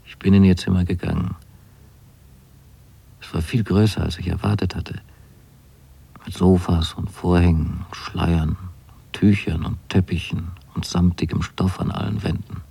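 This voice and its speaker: Young son writing home in a radio play, very low husky voice, slow careful wording, wry and naive. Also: male voice, steady tone, dark tone, full character voice. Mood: intimate, reflective. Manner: measured, precise, deliberate storytelling. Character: young man writing letters to his mother, wryly fastidious and naive. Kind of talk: literary radio drama monologue.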